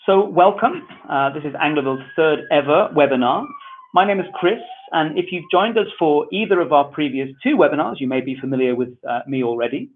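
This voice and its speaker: Man, Manchester accent, webinar host, relaxed friendly instructions, tinny phone-like sound. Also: on the high side, fairly quick. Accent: Mancunian English. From England. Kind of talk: webinar welcome and housekeeping. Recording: tinny phone-like online audio.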